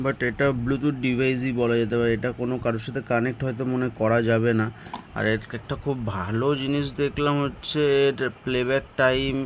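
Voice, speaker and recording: A man, chatting casually and thinking aloud in short, informal remarks like a vlogger reviewing a product. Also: mid-range voice. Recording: clearly noisy, ambient and vehicle sound.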